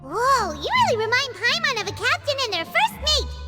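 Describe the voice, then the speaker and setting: Squeaky tiny fairy companion. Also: female voice, sing-song, normal speed, over music. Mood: excited, playful. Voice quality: squeaky, childlike. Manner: refers to self in third person, exclamatory. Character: tiny chirpy childlike fairy companion, excitable and candid. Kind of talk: video game voice acting.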